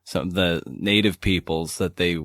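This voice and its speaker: A man with a deep voice hosts a conversational interview podcast. He is informal and tentative, building his questions loosely as he goes. The sound is dry, free of room echo. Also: fairly even intonation, moderate pace, a bit bright.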